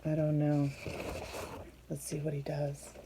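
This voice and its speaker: A woman reading a picture book aloud to young children, expressive and teacherly, pausing to put questions to her listeners. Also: sits low, noisy background.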